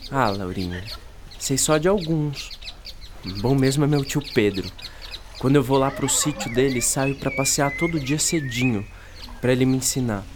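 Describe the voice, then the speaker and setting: A man acts a friendly Brazilian boy in a radio play. His voice sits at a middle pitch, and he chats in a folksy, eager way about what he knows. Birdsong fills the air clearly behind him. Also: normal speed, background noise, close to an everyday voice. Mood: chatty, informative. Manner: chatty, enthusiastic, folksy. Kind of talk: radio drama dialogue. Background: outdoor birdsong.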